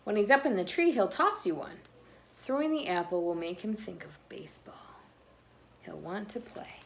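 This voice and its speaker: A woman reading a children's picture book aloud expressively, pausing between phrases with dramatic emphasis. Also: mid-range voice, expressive, creaky, a little hiss or hum. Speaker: children's read-aloud host.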